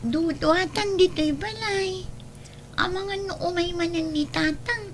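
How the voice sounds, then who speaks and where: A woman as a very high-voiced little sister. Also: unhurried, slight background noise. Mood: wondering. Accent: Ilocano. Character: inquisitive little girl, younger sister. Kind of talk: Ilocano audio drama acting.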